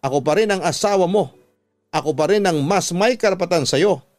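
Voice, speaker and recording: Lalaking gumaganap na palabang asawang babaeng pinagtaksilan, mataas ang tono. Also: male voice, high voice, normal speed, a little mellow, no echo, voice pushed up, lightly acted. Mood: furious, defiant. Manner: pleading and accusing, emphatic. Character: anguished, defiant betrayed wife, adult woman. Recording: music bed under the voice.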